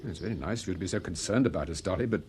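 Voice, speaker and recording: An actor in a radio drama playing a suave, courteous, unflappable gentleman detective with a polite, probing manner. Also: low voice, very fast, a little hiss or hum, natural voice. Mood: concerned, unflappable.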